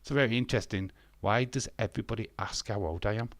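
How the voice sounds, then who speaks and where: A man's voice: fairly low pitch, quick pace, no room sound. A man in his fifties hosting a live stream, reading chat comments aloud and answering them bluntly with sarcasm and frequent swearing. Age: 50s.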